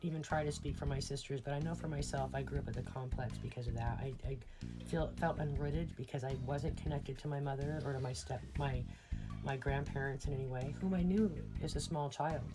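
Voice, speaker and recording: A woman's voice: rumbling low, fairly quick, over loud music. A middle-aged American woman telling personal stories in a casual, rambling, reflective monologue. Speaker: personal storyteller.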